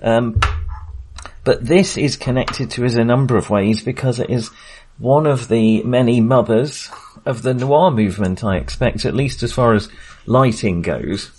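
A man hosting a podcast in a casual discussion style, halting and often searching for words. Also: medium pitch, darkish tone, husky. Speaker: podcast host.

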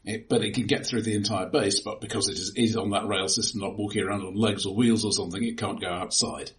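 A man co-hosting a casual film discussion, explaining in a halting way with repeated words. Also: fairly low pitch, steady tone, a bit bright. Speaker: film discussion podcast co-host.

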